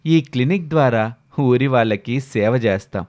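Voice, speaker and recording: A man playing a polite, persuasive village flatterer who runs a clinic, in a moral story. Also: mid-range voice, normal speed, close to an everyday voice. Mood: flattering, persuasive.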